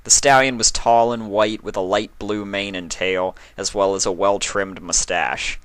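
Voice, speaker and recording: A man's voice: lowish voice, steady tone, a little slow, a bit bright, clean tone, no echo. A man narrating a scripted story as a reader.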